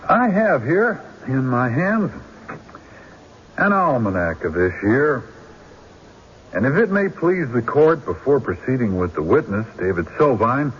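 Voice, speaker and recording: A shrewd country defense lawyer in a radio drama. His husky voice rises and falls dramatically as he builds deliberate, theatrical arguments to the court. The recording is dull, like old radio. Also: mid-range voice, very animated, full character voice. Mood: emphatic, incredulous.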